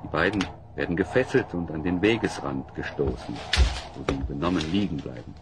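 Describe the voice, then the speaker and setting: A man's voice: extremely low pitch, fairly quick, background noise. A man playing the solemn narrator of a fantasy audio drama, telling the tale in a theatrical, scripted style. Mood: dramatic, solemn. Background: music.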